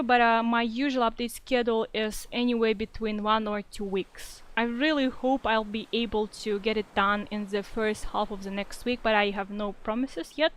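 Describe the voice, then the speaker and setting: A woman's voice: a little high, clear, resonant tone, low-quality recording. A young woman with a Russian-influenced accent answering reader questions in a casual livestream, hesitant and full of fillers. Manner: hesitant, many fillers and restarts, reads comments aloud. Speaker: fanfiction writer answering readers.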